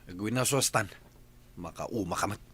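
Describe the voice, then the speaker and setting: A man's voice: fairly low pitch, normal speed, fairly bright tone, slight background noise, close to an everyday voice. A man with a Filipino accent playing a fed-up, evasive boyfriend in a radio drama, irritated and defensive. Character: Rolly, fed-up, evasive, spendthrift young boyfriend. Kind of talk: Philippine radio drama acting.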